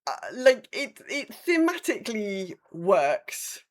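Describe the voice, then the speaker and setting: A woman's voice: fairly high pitch, big pitch swings, takes it slowly. A woman co-hosting a podcast in casual chat, musing aloud with plenty of hedging and mild bemusement. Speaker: podcast co-host. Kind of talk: casual podcast chat about a video game.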